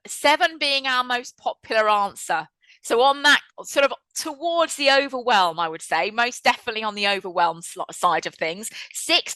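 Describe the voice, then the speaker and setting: A woman in her fifties with a bright, clear voice, heard dry and close. She hosts a webinar over a video call in a chatty, reflective way. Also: medium pitch, no echo, poor audio. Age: 50s. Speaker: webinar host and trainer. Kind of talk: presenting a webinar.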